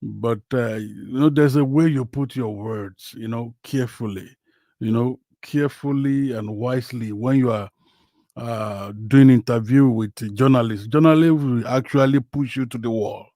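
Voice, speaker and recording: A Nigerian man whose first language is Yoruba, hosting a casual football livestream from home, talking slowly with many fillers. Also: medium pitch, dark tone, no room sound. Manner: slow, hesitant, many fillers. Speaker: livestream host. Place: home, in Western Australia.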